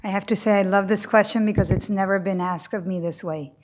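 A woman's voice: medium pitch, fairly quick, highs cut off. A woman from Colombia, a novelist talking animatedly on a panel before an audience, often restarting her sentences. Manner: animated, talkative, frequent restarts. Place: room with a live audience.

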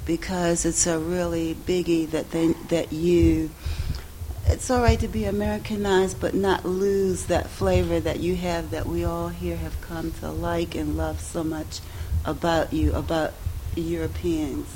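Lady in the audience, mellow low voice, going on and on with her question, faint hum behind. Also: flat delivery, a little slow, low-quality recording. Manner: rambling, repeats words while searching for phrasing. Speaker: audience member asking a question.

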